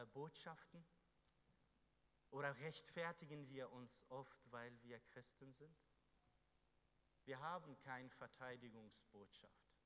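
Fervent male preacher in an echoey hall. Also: mid-range voice. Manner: fervent, swings from loud exhortation to quiet. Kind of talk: sermon. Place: church hall. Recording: echoey hall with faint hum.

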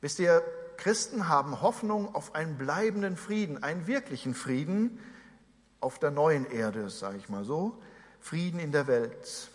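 Male pastor, 40s, from Germany; earnest conversational sermon delivery, a touch high, reverberant hall. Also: expressive, measured pace. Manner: earnest, conversational preaching with asides to the congregation.

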